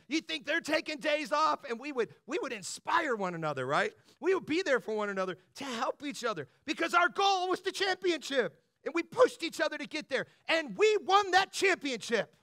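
A man preaching as a pastor in a conversational, motivational style, colloquial and animated, often checking in with the listeners. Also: very high-pitched, dry recording, studio quality. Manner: animated, colloquial, punctuates points with "right?" and "bro".

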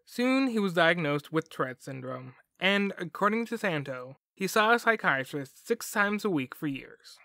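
A man's voice: sits high, sing-song, normal speed, gravelly, few pauses, pushed and bright, no echo, studio quality. A man hosting a podcast, reading scripted documentary narration in a wry manner. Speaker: podcast host.

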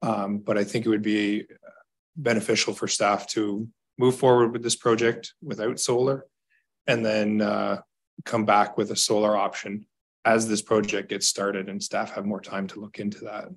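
Low, flat-voiced Canadian man on a video call. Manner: measured, hesitant with fillers. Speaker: municipal staff member. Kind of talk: council meeting report. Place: small office.

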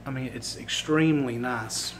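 A man with a Virginia accent, losing his voice and clearing his throat, chatting casually to a phone camera indoors as he shows off thrift finds. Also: mid-range voice, moderate pace, slight background noise. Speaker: thrift reseller vlogger. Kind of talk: casual show-and-tell vlog. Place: indoor room with items on a table. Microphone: phone camera held while filming.

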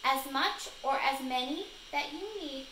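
A young woman giving a children's read-aloud lesson in a deliberate, instructional way, recorded indoors in a living room. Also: high-pitched, bright, forceful tone. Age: young adult. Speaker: teacher reading aloud. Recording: echoey room, noisy home recording.

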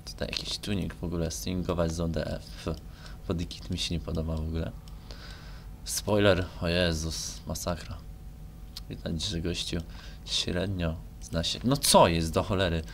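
A man's voice: deep voice, steady tone, moderate pace, creaky. A young man from Poland talking casually and hesitantly as he plays a game, in a rambling, colloquial way. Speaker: gaming video creator. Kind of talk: casual rambling talk over gameplay. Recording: noisy home microphone recording. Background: noise with car engine sounds from the game.

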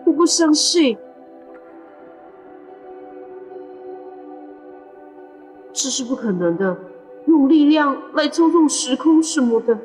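Woman voicing a high-pitched, determined young boy. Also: lively intonation, normal speed, a little mellow, soft background music. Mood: anxious, defiant. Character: determined young boy worried for his older brother. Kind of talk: amateur audio drama acting.

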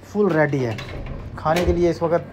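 A man's voice: on the high side, normal speed, very noisy. A man casually narrating a food vlog, upbeat and chatty, repeating his praise and talking straight to his viewers. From South Asia. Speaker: food vlogger. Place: busy shopping mall food court, indoors. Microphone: phone camera held in hand. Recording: muffled phone audio, loud mall crowd.

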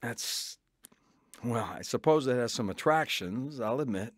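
A man's voice: medium pitch, lively intonation, a little slow, dry recording, clean studio sound. An actor playing a troubled man, earnest and reflective, in a scripted first-person radio drama. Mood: uneasy. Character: earnest, troubled man recounting his own past.

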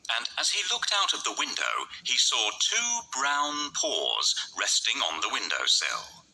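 A man narrating a scripted children's story in an expressive, deliberate way. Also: medium pitch, vocal fry, poor audio.

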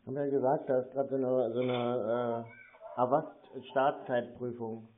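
A man in a casual phone conversation, talking in a deadpan, evasive manner as he baits a caller. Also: medium pitch, moderate pace, a little hiss or hum. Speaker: prank call recipient baiting phone scammers. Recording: narrow, tinny phone line.

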